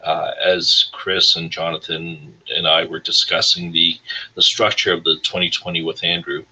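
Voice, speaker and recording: A man with a husky voice hosting a casual interview show over an online call. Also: deep voice, little pitch movement, normal speed, bright, forceful tone, rough recording. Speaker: host of a weekly music career show.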